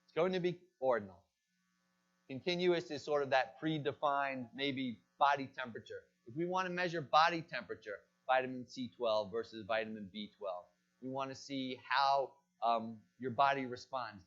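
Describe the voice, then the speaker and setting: A man lecturing a pharmacy class, speaking at an ordinary middle pitch. He poses questions to the room and supplies the answers himself. The lecture capture sounds dull and lo-fi. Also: moderate pace, fairly bright tone.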